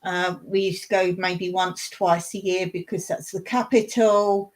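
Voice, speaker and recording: A woman's voice: on the low side, flat delivery, measured pace. A woman hosting a casual live stream, talking to viewers in a rambling, chatty way and laughing often.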